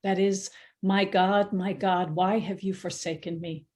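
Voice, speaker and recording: A woman reading scripture aloud as narrator in a church dramatic reading, in a steady, measured way with the odd self-correction. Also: on the low side. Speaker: scripture reader.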